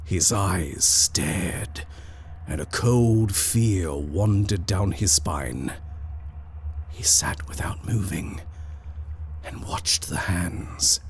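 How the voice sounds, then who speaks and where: A man with a very low, deep voice reads a ghost story at an unhurried, drawn-out pace. His delivery is measured and deliberate, sometimes dropping to a hush. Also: vocal fry, a little hiss or hum. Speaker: narrator. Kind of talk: scripted horror story reading.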